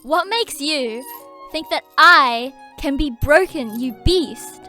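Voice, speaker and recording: A woman's voice: sits high, expressive, slow pace, sharp tone, soft background music, heavily acted. A woman playing a proud but desperate captive huntress in an audio drama, strained and defiant. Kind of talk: fiction podcast audio drama acting.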